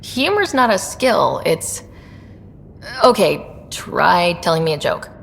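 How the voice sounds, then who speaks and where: A young female space explorer from a video game, wry and curious. Her casual voice is lowish with a gravelly creak, and it rings in a long reverb. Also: big pitch swings, slow pace, a bit bright, exaggerated voice. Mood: wry, joking. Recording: long reverb, low rumbling noise.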